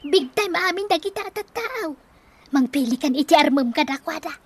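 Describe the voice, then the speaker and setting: A woman's voice: sits high, expressive, normal speed. A woman with a Filipino accent playing a pleading, scheming wife and mother in debt, whining and wheedling in a comedy radio drama. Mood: scheming, coaxing.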